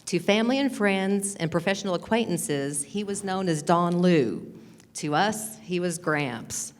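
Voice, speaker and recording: A woman's voice: fairly low pitch, animated, measured pace. Texan woman reading in an echoing hall. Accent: Texas. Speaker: presenter. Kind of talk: reading a prepared history talk. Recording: reverberant hall.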